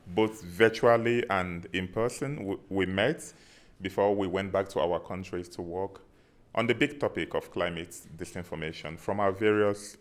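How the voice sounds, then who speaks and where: A man from southeastern Nigeria with a fairly low voice, speaking in a friendly and relaxed way. He fills his pauses with frequent ums as he introduces himself to a group. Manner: friendly, relaxed, many fillers. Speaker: visiting speaker at a community meeting. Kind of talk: casual self-introduction.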